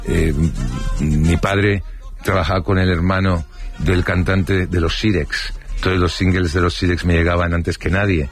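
Older spanish man, very deep voice, rambling anecdotes, faint music behind. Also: little pitch movement, a little slow. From Spain. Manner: rambling, anecdotal, full of fillers and restarts. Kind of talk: casual storytelling interview.

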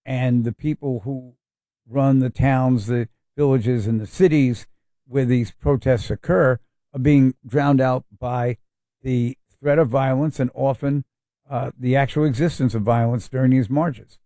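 A man's voice: medium pitch, steady tone, unhurried, dark tone, dry recording. A man hosting a talk radio show and telling stories. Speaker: radio host. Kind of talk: casual talk radio chat.

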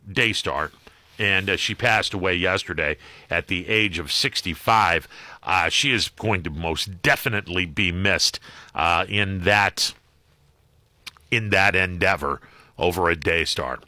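A man's voice: low-pitched, animated, takes it slowly, sharp tone, dry recording. An American man hosting a radio call-in show, talking casually in a wry, hesitant, conversational way. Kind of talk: casual talk radio monologue and caller chat. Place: radio studio. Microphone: close studio microphone.

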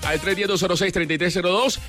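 A man works the studio mic as a late-night radio host, his voice sitting a little high and bouncing with upbeat energy. He slips into short sung phrases between announcements. Soft music plays faintly underneath. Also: fast talker. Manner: animated, upbeat, breaks into short sung lines. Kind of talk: lively radio presenting.

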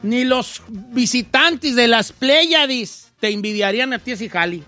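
A man's voice: very high voice, expressive, a little slow, sharp tone, soft background music. A man with a Mexican accent hosting a radio show in casual comedic banter, slangy and teasing as he reacts to listeners.

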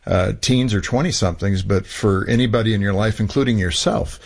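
Husky-voiced male talk show host. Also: lowish voice, a little fast. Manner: encouraging, affirming. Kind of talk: casual radio interview hosting.

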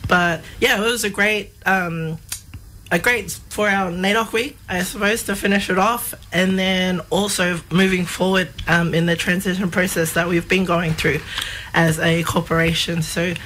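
A woman's voice: on the low side, steady tone, normal speed, a bit bright, a little hiss or hum, rough recording. A woman with an Australian accent and a voice worn from overuse, talking casually as an interview guest. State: strained voice from overuse. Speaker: general manager, interview guest.

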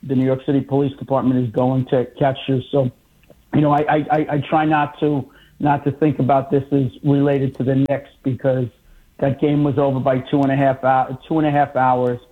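A man's voice: mid-range voice, husky. Male co-host on a tinny line. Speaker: radio co-host. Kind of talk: casual radio talk.

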